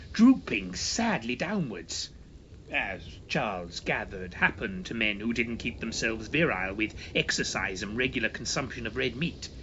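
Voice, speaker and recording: A man's voice: mid-range voice, a bit bright, muffled recording, slight background noise. A man reading a comic horror story in a pompous, theatrical, mock-serious storytelling manner. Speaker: story reader and performer. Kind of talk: dramatic scripted narration of comic horror.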